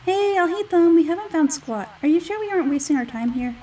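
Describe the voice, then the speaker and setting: A woman voicing a small, childlike, excitable fairy guide from a video game, whiny and exclamatory, speaking of herself in the third person. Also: extremely high pitch, quick pace, far from the mic, pitched above the performer's own voice. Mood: impatient, curious.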